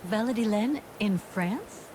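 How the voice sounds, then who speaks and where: An actress playing a polite, inquisitive woman investigator in a video game, measured and considerate. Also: mid-range voice, lively intonation, darkish tone, a little hiss or hum, poor audio.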